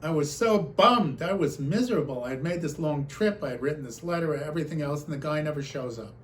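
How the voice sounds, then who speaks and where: A man giving a talk as a personal storyteller, recounting remembered exchanges in an animated manner. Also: fairly high pitch, quick pace. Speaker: conference speaker.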